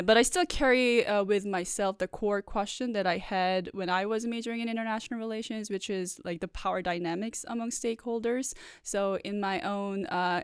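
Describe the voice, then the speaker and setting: A woman in her thirties from South Korea, Korean her first language, speaks in a smooth, resonant voice close to a dry studio mic. She explains her ideas in a measured way, pausing often on "uh" and "like". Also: medium pitch, no room sound. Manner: thoughtful, many "uh" and "like" fillers. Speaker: guest professor. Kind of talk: podcast interview.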